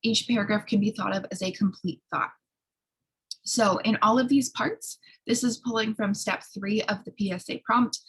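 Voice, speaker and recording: A woman who sounds a little hoarse, giving an explanatory college writing lecture in an instructional way, often checking in with short tag questions. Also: mid-range voice, steady tone, moderate pace, fairly bright tone, rough recording. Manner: instructional, frequent checking tags like "right?". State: slightly hoarse.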